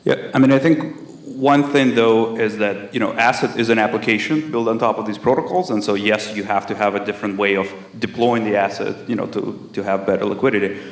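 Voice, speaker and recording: A man's voice: fairly low pitch, fairly quick, roomy sound. A man speaking as a conference panelist, laying out his own terms in a conversational way.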